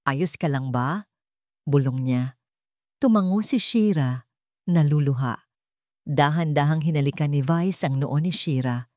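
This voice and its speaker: A woman narrates a dramatic love story from a script. Her voice sits low, and the sound is dry and close, with no room around it. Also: darkish tone, no echo.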